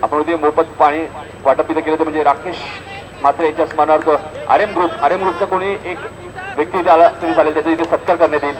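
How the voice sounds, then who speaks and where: Man, Maharashtrian accent, high-pitched voice, emphatic live cricket commentator, repetitive score calls, noisy outdoor ground recording. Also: level tone, fast talker. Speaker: cricket match commentator and event announcer. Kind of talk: live local tournament cricket commentary with stage announcements.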